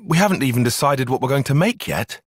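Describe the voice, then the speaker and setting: A man voicing an expressive, precise young strategist soldier. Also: mid-range voice, quick pace, no room sound, studio quality, close to an everyday voice. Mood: measured, analytical. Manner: precise, formal, analytical wording. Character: young male strategist soldier, cerebral, earnest, quick to exasperation. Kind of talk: video game cutscene voice acting.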